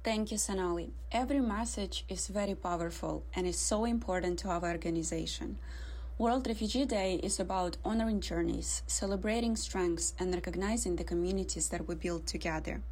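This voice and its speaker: A woman hosting a radio interview, formal and measured. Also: on the low side, darkish tone. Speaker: host, interviewer.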